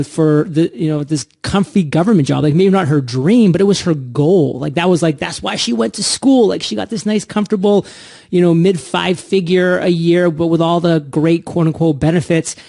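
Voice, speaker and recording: A man's voice: fairly high pitch, creaky, a little mellow, no echo, studio quality. A man hosting an upbeat entrepreneurship podcast, with an emphatic, punchy delivery. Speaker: podcast host.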